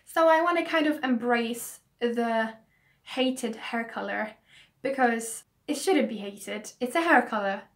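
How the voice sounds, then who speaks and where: A young woman talks straight to her camera at home, chatty and earnest as she lays out her reasons. Her voice sits a little high, but hay fever has left it croaky. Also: a little slow. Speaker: beauty vlogger. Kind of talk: casual talking-to-camera vlog.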